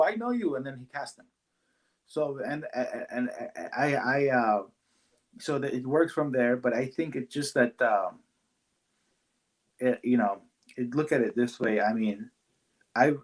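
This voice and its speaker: A man who works in casting talks loosely in an interview, stumbling and restarting, padding his thoughts with fillers and quick laughs. His voice sits in an ordinary middle range. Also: mid-range voice. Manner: rambling, halting, full of fillers and laughs. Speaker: casting director and actor. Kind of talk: casual interview conversation.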